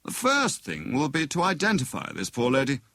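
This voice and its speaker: A man's voice: mid-range voice, wildly swooping pitch, normal speed, pushed and bright, no room sound, studio quality, voice pushed up, heavily acted. A man voicing a big, matter-of-fact British doctor with an authoritative, clipped manner in an audiobook.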